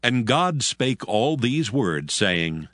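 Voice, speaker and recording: A man with a medium-pitched, very lively voice narrates scripture dramatically. Music plays clearly behind his scripted reading. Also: takes it slowly.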